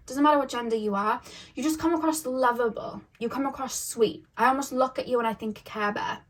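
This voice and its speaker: Chatty woman giving a tarot reading. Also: fairly high pitch. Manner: chatty, musing aloud, repeats questions to spirit guides. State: voice going a little deep. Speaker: tarot reader. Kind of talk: casual tarot reading to camera. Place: indoors at home.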